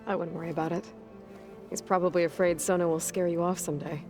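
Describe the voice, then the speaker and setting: Guarded young huntress, played by a woman, lowish voice. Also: steady tone, fast talker, over music, lightly acted. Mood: reserved, caring. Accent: American. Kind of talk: naturalistic video game acting.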